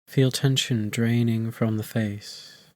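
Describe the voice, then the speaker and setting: A man leading a guided meditation as a teacher, in soothing phrases with long, unhurried pauses. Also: mid-range voice, fairly even intonation, darkish tone, no echo.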